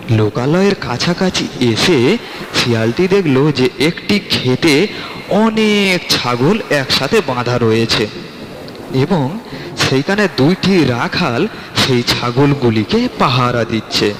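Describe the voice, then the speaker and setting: A male storyteller reads a scripted fairy tale at a very slow pace, with a medium pitch. The voice carries a long reverb and faint background noise. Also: a bit bright. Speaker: storyteller, narrator.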